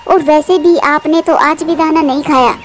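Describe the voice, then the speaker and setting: High-voiced anxious mother bird, played by a woman. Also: fairly even intonation, moderate pace, faint music behind, lightly acted. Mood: pleading. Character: anxious, devoted mother bird, tender and self-sacrificing. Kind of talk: Hindi moral cartoon dubbing.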